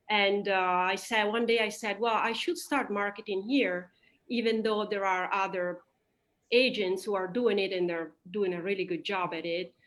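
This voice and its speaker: Italian-native woman realtor chatting in an interview, mid pitch, lots of ums and little laughs. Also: fairly bright tone. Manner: chatty, with fillers and quick laughs. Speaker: real estate agent. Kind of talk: interview answers.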